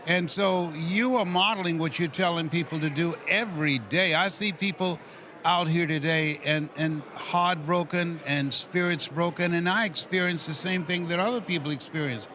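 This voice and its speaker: A man's voice: on the high side, normal speed, pushed and bright. A man of eighty giving motivational encouragement in conversation as a guest, in a preacherly way full of maxims. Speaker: motivational speaker, interview guest.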